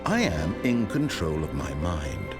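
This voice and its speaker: A man's voice: very deep voice, huge pitch swings, a little slow, music in the background, heavily acted. An actor playing a wry, sardonic male video game narrator who teases the player with dry mock praise.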